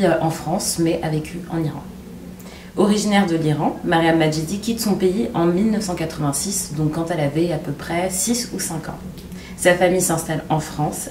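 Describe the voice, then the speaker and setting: A young woman talking through a book review, reading a synopsis aloud and then speaking with many pauses, fillers and restarts. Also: lowish voice, a little mellow, slight background noise. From Egypt.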